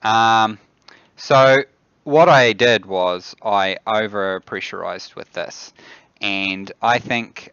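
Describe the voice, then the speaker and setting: A man in his thirties with a New Zealand accent, a game developer thinking aloud on a live stream, halting and trailing off into mutters. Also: on the low side, a bit bright, no echo, muffled recording. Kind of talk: casual live commentary while playing.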